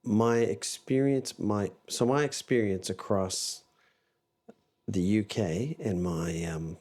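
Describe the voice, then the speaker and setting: A man's voice: fairly low pitch, slow pace, darkish tone. A man with a mixed Canadian and Australian accent, talking casually as a podcast guest, rambling and restarting often. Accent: Canadian and Australian mix. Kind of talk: casual interview chat.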